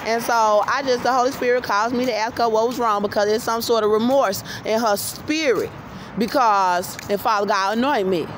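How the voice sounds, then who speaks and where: A woman's voice: a little high, moderate pace. An American woman in her thirties talking insistently and emphatically into a handheld phone as she walks, often repeating her phrases. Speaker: vlogger. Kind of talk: livestream-style monologue to camera while walking. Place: outdoors on a city street at night, walking. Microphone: handheld phone at arm's length. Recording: noisy phone recording on city street. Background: traffic and street noise.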